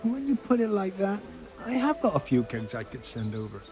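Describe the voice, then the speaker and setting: A man's voice: high-pitched, wildly swooping pitch, normal speed, over music. A man acting a sly, miserly medieval innkeeper in a video game, smug and wheedling. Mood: grudging.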